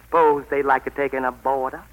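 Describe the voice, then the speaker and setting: An actor playing a cocky young country drifter in a 1940s radio drama. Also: male voice, mid-range voice, little pitch movement, brisk, phone-quality sound, close to an everyday voice. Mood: cocky wooing. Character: swaggering, menacing young country drifter turned suitor. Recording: vintage 1940s radio broadcast.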